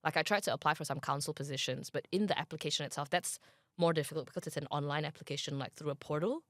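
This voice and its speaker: A woman's voice: sits low, fast, dry recording, studio quality. A young woman from Malaysia with a Malaysian accent, a guest in a casual interview who talks in a chatty way with many fillers, thinking aloud.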